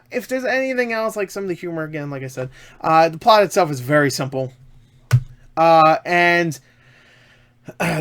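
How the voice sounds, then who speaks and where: A man with a fairly high-pitched voice chats through an unscripted review. He is enthusiastic and emphatic, circling back over his points in a loose, rambling flow. The delivery is casual throughout, like an informal vlog. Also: fairly bright tone. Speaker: film reviewer.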